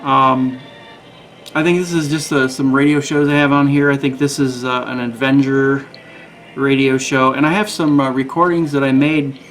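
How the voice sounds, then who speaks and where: A man giving a casual, matter-of-fact product demonstration in a halting way. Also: mid-range voice, fairly even intonation, fairly bright tone, soft background music, rough recording. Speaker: radio reviewer. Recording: clear background noise.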